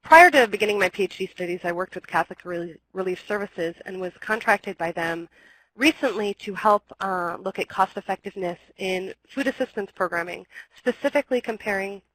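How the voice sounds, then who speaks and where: A young woman with a cold gives a webinar presentation, her voice a touch scratchy. She explains step by step, often pausing on ums and correcting herself. Her pitch sits in the middle range, and her delivery is even. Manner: explanatory, with frequent ums and restarts. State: has a cold, slightly scratchy.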